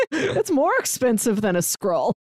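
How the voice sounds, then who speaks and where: A woman's voice: sits high, no echo, clean studio sound. A woman co-hosting a podcast in casual conversation, with a playful, wry way of talking. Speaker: podcast co-host. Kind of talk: casual chat about comics and shows.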